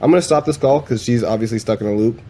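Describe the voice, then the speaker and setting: A man's voice: medium pitch, fast talker, dark tone, husky. A man hosting a demo video in a casual, laid-back, informal way, like a chatty tutorial. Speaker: video host demonstrating AI voice agents.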